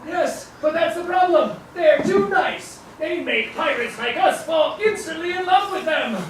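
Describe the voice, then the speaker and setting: Pirate captain puppet, young female performer, hearty, high voice, noisy distant recording. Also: lively intonation, measured pace. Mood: hearty, dramatic. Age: teenager or young adult. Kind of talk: live children's puppet show. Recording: echoey noisy hall, far from mic.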